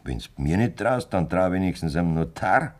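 A man's voice: low voice, moderate pace. An actor with a Bavarian accent playing a scheming, blunt aging farmer who talks down to his wife in a radio play. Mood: cold-blooded. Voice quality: blunt, self-assured and lively in tone.

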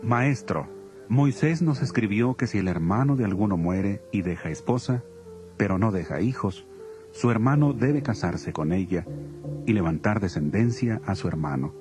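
A man playing a mellow, formal scholarly questioner. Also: fairly low pitch, animated, soft background music, close to an everyday voice. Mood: formal, probing. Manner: formal, deliberate recounting. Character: Sadducee man, learned questioner posing a legal riddle. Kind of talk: dramatized scripture audio.

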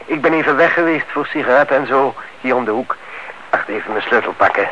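A man's voice: medium pitch, animated, a little fast, natural voice. An actor playing a polite, guarded male neighbour in a radio play, formal and controlled. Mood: guarded, courteous. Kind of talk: Dutch radio play acting. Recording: dull, noisy old radio-play recording.

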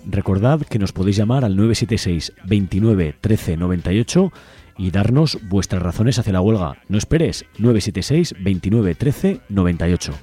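A man's voice: on the low side, expressive, normal speed, mellow, soft background music. A man with an accent from Spain hosting activist radio, speaking in an impassioned way with rhetorical lists. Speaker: radio host. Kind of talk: activist radio talk with phone-in.

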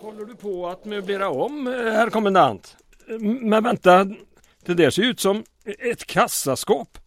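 Man acting a wry, higher-pitched town mayor. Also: expressive, no echo. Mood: wry, probing. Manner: wry, stammers when flustered. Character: harried, sardonic small-town mayor under wartime occupation. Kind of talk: comic radio drama acting.

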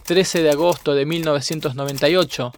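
A man's voice: a little high, fairly even intonation, a little fast, a little hiss or hum. A man reads scripted documentary narration, setting out events date by date.

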